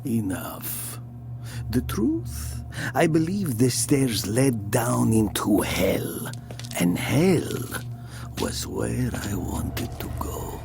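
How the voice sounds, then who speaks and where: An actor playing a haunted, guilt-ridden killer, narrating his confession in a brooding, deliberate, sometimes hushed manner. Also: medium pitch, animated, vocal fry, background noise, exaggerated voice. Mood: resigned. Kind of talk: dramatic first-person literary narration. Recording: music behind the voice. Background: music.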